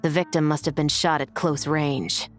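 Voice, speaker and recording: An actress plays a steady, no-nonsense female officer with a lowish voice. She speaks tersely and evenly, staying composed. Soft game music plays underneath. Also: moderate pace, natural voice. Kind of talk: video game voice acting.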